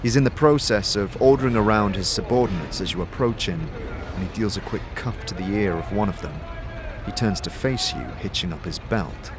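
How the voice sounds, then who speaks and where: A man's voice: on the low side, a little fast, over music. A man giving dramatic scripted narration as the storyteller of a fantasy audio drama. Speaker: narrator and game master, also voices the protagonist.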